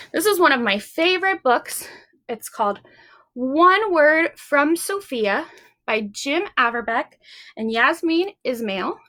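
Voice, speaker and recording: A woman hosting a story time for young children, speaking in an encouraging, animated way with simple phrases and questions put straight to the listeners. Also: high-pitched.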